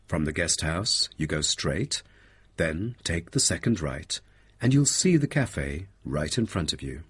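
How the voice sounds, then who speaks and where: A man reading a scripted guided presentation as a helpful guide with a husky voice. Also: low-pitched, animated, unhurried, full character voice. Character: helpful male guide presenting a park's facilities. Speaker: voice actor reading listening test parts. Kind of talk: scripted narration.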